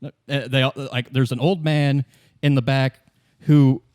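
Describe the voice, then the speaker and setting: A man running a tabletop roleplay as game master, narrating casually and haltingly as he improvises. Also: medium pitch, little pitch movement, darkish tone. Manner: conversational, halting, repeats words as improvising.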